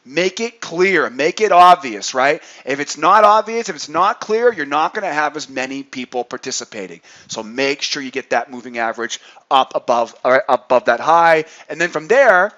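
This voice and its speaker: A man's voice: fairly high pitch, lively intonation, moderate pace, fairly bright tone, highs cut off. A man hosting an informal market chart tutorial as an instructor, speaking emphatically, repeating points and checking in with the listener. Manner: emphatic, repetitive, checks in with "right?". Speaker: trading instructor and channel host.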